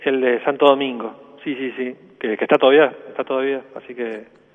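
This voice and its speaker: A man's voice: mid-range voice, fairly even intonation, a little fast, in a large hall. An Argentine man from northern Santa Fe, a priest, talking by phone in a radio interview, often repeating words and adding "¿no?". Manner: repeats words, many tags of "¿no?". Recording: tinny phone line.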